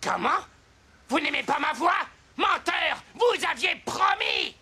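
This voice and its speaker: An actor voicing a vain, pompous talking crow in a cartoon, raspy and cawing, theatrical and easily offended, with music playing. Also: high-pitched, big pitch swings, slow pace, exaggerated voice. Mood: outraged. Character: vain, self-important talking crow who loves singing. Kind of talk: animated cartoon acting. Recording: loud music under the voice.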